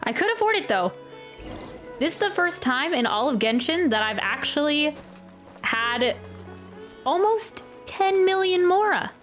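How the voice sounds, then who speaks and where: A woman's voice: high-pitched, big pitch swings, measured pace, pauses often, a bit bright, soft background music. A woman giving casual, chatty reaction commentary as a game streamer, with rambling, jokey asides.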